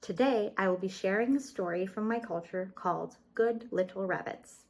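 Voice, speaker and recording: A woman from the United States reading a children's story aloud clearly and expressively. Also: medium pitch, moderate pace.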